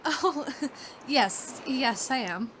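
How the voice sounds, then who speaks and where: A shy, romantic spinster in a vintage radio play. Her voice sits fairly high and gushes with breathless, girlish excitement. The old recording carries a light layer of noise. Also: drawn-out words, exaggerated voice. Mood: shy, flustered. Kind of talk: 1940s radio acting, diary narration and dialogue.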